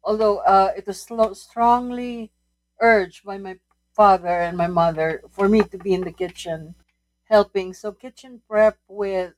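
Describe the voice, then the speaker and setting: A woman in her sixties, a food writer and guest, recalling her life at a halting pace with frequent pauses and restarts. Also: mid-range voice, low-quality recording. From Philippines. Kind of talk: casual interview.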